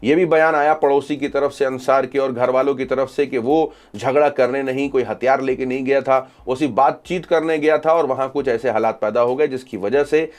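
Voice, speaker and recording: A man's voice: mid-range voice, steady tone, normal speed, few pauses. A man hosting social media news commentary, reporting events and reading out reactions.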